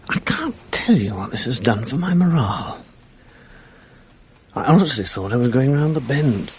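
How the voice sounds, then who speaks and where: A man's voice: on the low side, darkish tone. An actor playing a world-weary, middle-aged upper-class Englishman in a radio drama, with an English accent and a wry, urbane, self-mocking manner. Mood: stunned, self-mocking. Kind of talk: 1980s British radio drama acting, intimate naturalistic dialogue. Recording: faint noise on archive radio recording.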